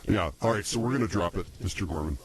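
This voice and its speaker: A man playing an easygoing, slightly slow comedy podcast host, plain-spoken and hesitant in improvised banter. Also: low voice, slight background noise, lightly acted, poor audio.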